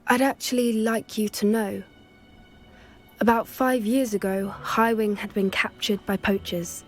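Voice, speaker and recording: An actress playing an earnest young female student who loves magical creatures, speaking in a soft, thoughtful, sometimes hesitant way in video game dialogue. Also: medium pitch, fairly even intonation, measured pace, soft background music, close to an everyday voice. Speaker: game character.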